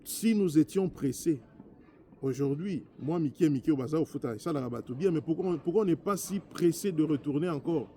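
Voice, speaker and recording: A man's voice: a little high, lively intonation, normal speed, warm tone, a little hiss or hum. A man from DR Congo with a Congolese accent giving an impassioned political address as a politician, emphatic and repetitive in his delivery. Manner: emphatic, repetitive, switching between French and Lingala. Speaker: politician, former member of parliament.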